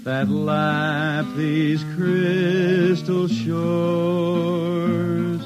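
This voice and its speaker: Wistful space drifter and poet, rural Southern US drawl, lightish pitch, unhurried, 1950s radio drama. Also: male voice, level tone, over loud music. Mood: solemn reciting. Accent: American, rural Southern. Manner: folksy, halting, dreamy drawl. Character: wistful blind spaceman balladeer from the Ozarks, hard-drinking drifter.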